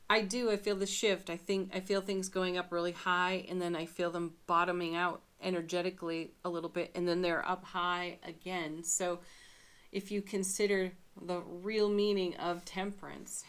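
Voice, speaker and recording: Slow-talking woman reading tarot. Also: on the low side, fairly bright tone. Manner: chatty, musing, laughs often. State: scratchy voice. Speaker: tarot reader.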